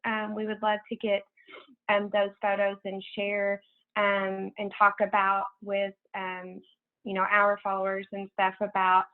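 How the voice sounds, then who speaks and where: An American woman giving informal announcements as a program coordinator on a conference call, in a casual, halting way over a tinny phone line. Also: mid-range voice, monotone. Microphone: telephone conference call.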